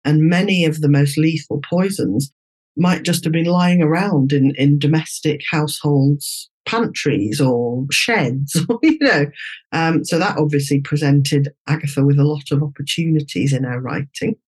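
Husky woman giving informal commentary. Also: low voice, a little slow, darkish tone. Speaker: interviewed commentator. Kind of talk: conversational expert commentary.

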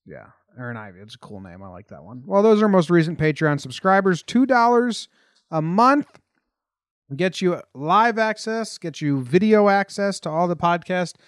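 Higher-voiced American man hosting a sports podcast. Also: sing-song, moderate pace, clear, resonant tone, no room sound, clean studio sound. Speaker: podcast host. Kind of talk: casual sports podcast talk.

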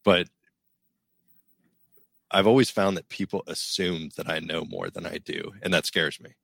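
Middle-aged male co-host, deep voice, rambling and self-deprecating. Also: fairly even intonation, a little slow, vocal fry, fairly bright tone, no echo, clean studio sound. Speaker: podcast co-host. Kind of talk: casual podcast chat.

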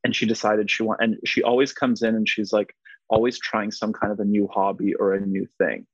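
A man's voice: lowish voice, level tone, brisk, breathy edge, no room sound. A man whose first language is Polish, talking earnestly in a casual interview, rambling with many fillers and restarts. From United States. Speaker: guest. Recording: dull lo-fi sound.

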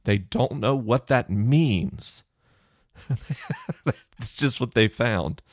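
A middle-aged man with a low, chesty voice, hosting a podcast and casually explaining research in answer to a listener question. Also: animated, extremely slow, dry recording, clean studio sound. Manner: conversational, thinking aloud with fillers.

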